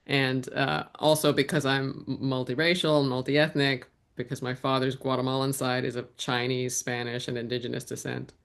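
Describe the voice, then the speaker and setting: A woman's voice: extremely low pitch, flat delivery, normal speed, gravelly, hardly any pauses. A woman answering interview questions as a guest, in a reflective way with frequent restarts. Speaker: guest, actor and writer.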